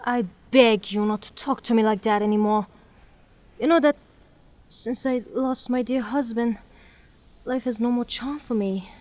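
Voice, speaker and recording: An actress playing a proud, grieving young widow, stubbornly faithful and melodramatic, in a theatrical audio drama. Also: female voice, a little high, steady tone, a little slow, pauses often, darkish tone, lightly acted. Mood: indignant, devoted.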